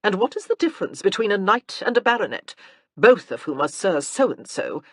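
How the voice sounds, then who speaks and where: A woman's voice: big pitch swings, normal speed, dry recording, clean studio sound. A woman doing a fussy, hectoring aunt. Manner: pestering, shrill.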